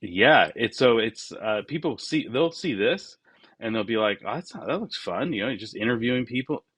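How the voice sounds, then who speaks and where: A man of forty-nine talking casually and reflectively as an interview guest, often voicing his remembered thoughts in a halting way. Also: medium pitch, expressive, fairly bright tone, slightly rough, no echo. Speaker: podcast guest, business founder.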